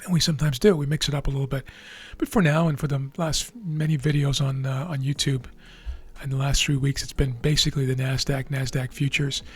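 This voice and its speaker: A man with a raspy, tired voice, hosting a trading video and explaining live charts in a measured way with frequent fillers and restarts. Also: medium pitch, level tone, soft background music. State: losing his voice.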